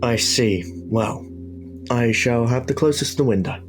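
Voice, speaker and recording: A man's voice: mid-range voice, moderate pace, background noise, lightly acted. An actor as a blunt young man. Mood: resigned. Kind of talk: period audio drama acting.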